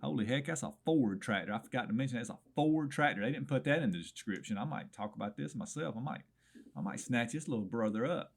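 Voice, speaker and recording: A man's voice: mid-range voice, expressive, fast talker, gravelly. A man calling in to a small-town radio swap shop, rambling in a folksy way and calling the host brother. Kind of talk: comedic call-in segment reviewing listings.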